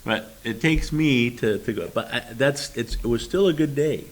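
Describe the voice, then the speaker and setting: A man's voice: mid-range voice, moderate pace. An older man with grandkids co-hosting a talk show, wry and needling in his banter. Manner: wry, needling, self-deprecating. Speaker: co-host.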